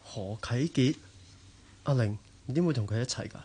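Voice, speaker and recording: An earnest, upright Cantonese heir. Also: male voice, medium pitch, animated, moderate pace, dark tone, husky. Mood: puzzled, earnest. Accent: Hong Kong Cantonese. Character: upright, dutiful male heir of a family business. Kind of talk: Cantonese radio drama acting.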